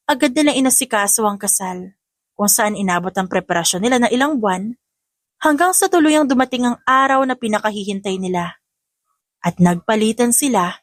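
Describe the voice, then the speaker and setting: A woman's voice: medium pitch, dry recording, clean studio sound. A woman audiobook narrator reading a scripted dramatic story.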